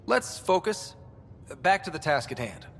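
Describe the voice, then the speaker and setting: An earnest young male leader from a space video game, with a fairly high, animated voice. He sounds diplomatic, heard in long reverb over faint noise. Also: unhurried, vocal fry, exaggerated voice. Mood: earnest, firm. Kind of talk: video game cutscene acting.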